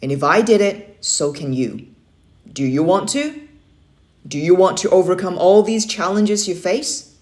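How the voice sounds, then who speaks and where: A woman's voice: fairly low pitch, a little slow, a little mellow, close to an everyday voice. A woman voicing a stern, motivating young Navy uncle in a children's story read-aloud, firm and emphatic. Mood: rousing, emphatic.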